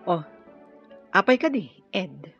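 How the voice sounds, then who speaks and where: A woman's voice: lowish voice, big pitch swings, slow pace, a bit bright, soft background music, full character voice. A woman playing a frank, supportive older-sister rebel comrade in an Ilocano radio drama. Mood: curious, frank. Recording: dull, dry radio drama audio.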